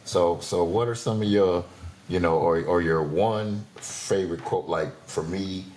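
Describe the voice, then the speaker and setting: A man's voice: low voice, a little slow, a little mellow. A man hosting a podcast, asking questions in a loose, casual, slangy way. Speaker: podcast host, coach. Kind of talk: casual conversational interview.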